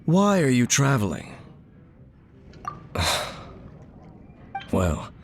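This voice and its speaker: A man voicing an aloof, mysterious, brooding stranger in a video game, cool and deliberate. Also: mid-range voice, huge pitch swings, gravelly, soft background music, heavily acted.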